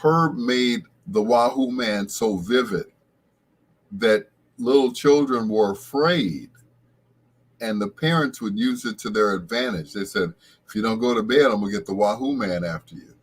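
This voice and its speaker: A middle-aged American man with a husky voice hosts casual late-night radio, rambling along like a reminiscing storyteller. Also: lowish voice, normal speed. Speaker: radio show host.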